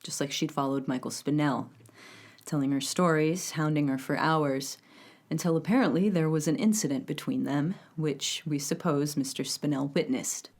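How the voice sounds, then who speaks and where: An actress playing a measured investigator giving matter-of-fact, conversational testimony in a documentary-style audio drama. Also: female voice, deep voice, a little mellow.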